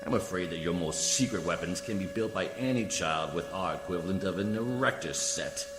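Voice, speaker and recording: A man's voice: deep voice, expressive, a little fast. A man acting a coolly formal, condescending alien judicial official in a science fiction radio play, speaking with precise diction. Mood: smugly condescending. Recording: echoey hall reverb, music behind.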